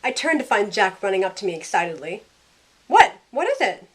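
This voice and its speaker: A woman acting as a sassy young heroine who tells her own story in an audio drama. Her delivery is wry and conversational, with a medium-pitched voice and an easy loyalty to her friends. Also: big pitch swings, fairly quick, close to an everyday voice. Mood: startled, curious. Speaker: narrator and lead character. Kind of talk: first-person story narration with in-character dialogue.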